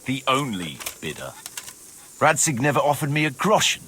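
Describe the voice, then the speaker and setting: An actor playing a cynical, roguish mercenary captain in a video game, sardonic and swaggering, with a rough voice. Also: male voice, mid-range voice, big pitch swings, normal speed, sharp tone, slight background noise. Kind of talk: dramatic video game dialogue.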